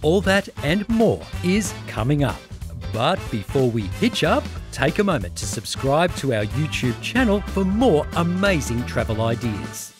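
A man in his forties delivers scripted travel narration with a playful, upbeat lilt. His pitch sits a little high and rises and falls a lot. Music plays clearly behind him. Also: very animated, a little slow. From Australia. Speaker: travel show host.

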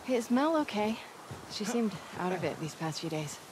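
A woman's voice: mid-range voice, very animated, moderate pace, background noise, natural voice. An actress playing a blunt, teasing young woman who questions her companion casually and dryly in a video game. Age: young adult. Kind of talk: naturalistic video game acting.

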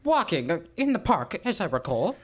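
Theatrical, swooping, nervous stage actor. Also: male voice, high-pitched, sing-song, normal speed. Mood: flustered, defensive. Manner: florid, stammering, flustered. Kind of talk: radio detective drama acting.

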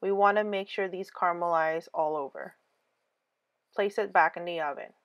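A woman giving a scripted cooking voice-over, calm clear step-by-step instructions for a home recipe. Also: fairly low pitch, normal speed. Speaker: cooking channel host.

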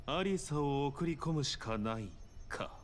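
Composed strategist, slightly high, measured; rumble underneath. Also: male voice, lively intonation. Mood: serious. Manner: measured, reporting tone. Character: composed male strategist, analytical. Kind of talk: game cutscene dub.

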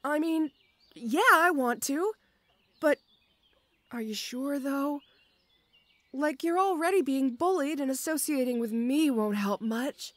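Woman playing a high-voiced shy tomboy orc girl. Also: lively intonation, slow, pauses often, no echo. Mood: hopeful, bashful. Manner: hesitant, stammering, bashful. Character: shy, bashful tomboy orc schoolgirl, strong but gentle. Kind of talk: intimate audio roleplay.

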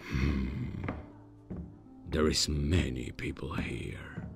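A man acts out a polite but socially stiff demon from hell in an ASMR roleplay. The voice is extremely deep and gravelly, and the delivery is very slow. He talks formally, with awkward hesitations and a deadpan tone. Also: over music, exaggerated voice. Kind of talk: ASMR roleplay, scripted comic monologue.

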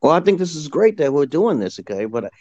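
A man in his forties with a New York accent, talking slowly and drowsily as an English tutor on a video call, leaning on "okay" a lot. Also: a little high, sing-song, dark tone, no echo, lo-fi sound. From United States. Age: 40s. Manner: slow, drawn-out and repetitive, leaning on "okay". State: just woken up, drowsy. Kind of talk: casual live-stream chat. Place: indoors at home, lying down. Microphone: video call, phone held close.

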